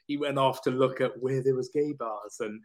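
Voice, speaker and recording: A man from South Wales, a personal trainer and coach, telling his life story candidly as a podcast guest, with lively swearing. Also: mid-range voice, normal speed.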